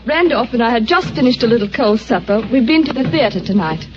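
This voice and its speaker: A woman's voice: fairly high pitch, animated, a little fast, natural voice. An actress playing a spirited young woman who speaks frankly and directly, on an old radio recording. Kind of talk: vintage radio acting. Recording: dull old radio recording, faint hiss.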